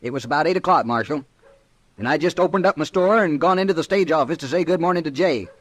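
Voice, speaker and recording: Actor as high-pitched, blustering storekeeper. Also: male voice, quick pace, no room sound, lightly acted. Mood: agitated recounting. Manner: blustering, insistent. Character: indignant, vindictive small-town western storekeeper. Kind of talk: old-time radio western acting.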